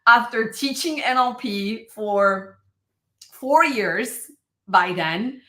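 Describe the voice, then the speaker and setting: A woman's voice: medium pitch, lively intonation, drawn-out words, a bit bright, reverberant. A woman with a Turkish accent, a podcast host whose first language is Turkish, talking in an enthusiastic, affirming way. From Turkey. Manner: enthusiastic, affirming, laughs often. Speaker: podcast host and NLP teacher. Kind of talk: conversational interview.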